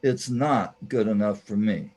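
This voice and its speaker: A man's voice: on the low side, unhurried, a little mellow. A man playing a lonely, troubled, questioning hero in a radio play, sounding plaintive. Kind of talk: amateur radio play acting.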